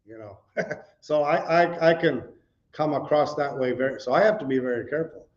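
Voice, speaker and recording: Chatty middle-aged preacher, dull lo-fi sound. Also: male voice, a little high, a little fast. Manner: loose and chatty, full of "you know" asides, asks the audience questions. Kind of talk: conversational teaching sermon with slides. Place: church meeting room with a responding audience. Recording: dull lo-fi recording.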